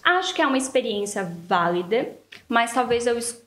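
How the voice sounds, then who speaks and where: A woman's voice: on the high side, big pitch swings, moderate pace, a bit bright. A Brazilian woman with a Brazilian Portuguese accent, a lawyer telling long rambling stories as a podcast guest. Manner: rambling storyteller, full of "então, assim" fillers. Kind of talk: casual interview chat. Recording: echoey room.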